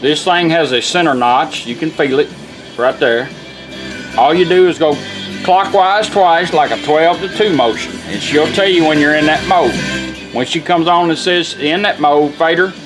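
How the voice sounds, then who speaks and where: A man's voice: a little high, normal speed, music in the background, rough recording. A man giving an informal, folksy product demonstration, explaining how things work as he goes. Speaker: radio restorer demonstrating a product.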